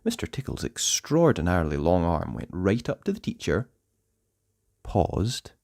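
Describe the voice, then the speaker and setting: A man narrating a children's storybook aloud in a playful way, with dramatic pauses and emphasis. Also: low voice, wildly swooping pitch, a little mellow, no room sound, clean studio sound.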